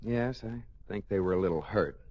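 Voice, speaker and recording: An actor playing a mild, sardonic, exasperated husband in a 1940s radio comedy. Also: male voice, fairly low pitch, normal speed, dull audio, lightly acted. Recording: faintly noisy old radio recording.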